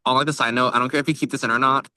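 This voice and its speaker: Young man, bright crisp-edged tone, average pitch, dry recording, casual podcast co-host, bookish chat. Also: steady tone, rapid-fire, no room sound. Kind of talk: casual book club chat. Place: outdoors by a tree at a lake.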